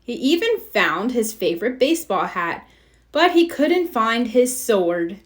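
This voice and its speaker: A woman narrating a children's storybook aloud in an expressive read-aloud style. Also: a little high, a little slow. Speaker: storybook reader.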